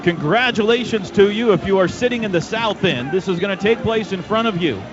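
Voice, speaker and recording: An American man calling live football on radio play-by-play, excitable, building drama in short punchy phrases. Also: sits high, a little fast. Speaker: play-by-play announcer. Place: stadium radio booth. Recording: dull noisy stadium radio broadcast.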